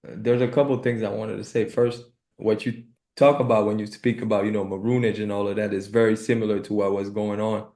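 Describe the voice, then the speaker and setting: A man's voice: on the low side, flat delivery, brisk, hardly any pauses, darkish tone, reverberant. A man from Cuba, chatting informally as a podcast co-host and often checking in as he goes. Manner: informal, often checks with "right" and "you know". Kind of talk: casual conversational interview.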